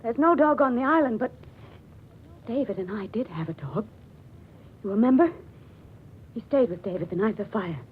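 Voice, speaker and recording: A haunted, anxious widow played by an actress in melodramatic 1940s radio style. Her high voice moves widely and trembles with fear. The old recording is muffled, with faint hiss. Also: animated, normal speed, long pauses, natural voice. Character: frightened, haunted widow living alone. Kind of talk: 1940s radio suspense acting.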